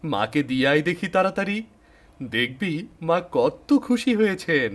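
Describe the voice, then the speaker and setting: A man voicing an affectionate young fairy-tale prince in a cartoon, brisk and eager. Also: fairly high pitch, big pitch swings, fairly bright tone. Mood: eager, happy.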